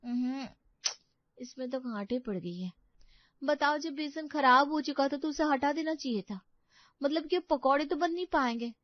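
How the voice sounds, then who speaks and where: Storybook young housewife played by a female narrator: fairly high, flustered, expressive homely chatter, slightly muffled. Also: moderate pace, no room sound, natural voice. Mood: flustered, grumbling. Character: young Indian daughter-in-law, flustered, chatty homemaker.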